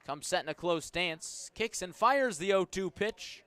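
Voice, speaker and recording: A man's voice: high voice, animated, measured pace, pushed and bright. A man doing live baseball play-by-play for radio, describing the action in clipped, descriptive phrases. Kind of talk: live radio sports commentary.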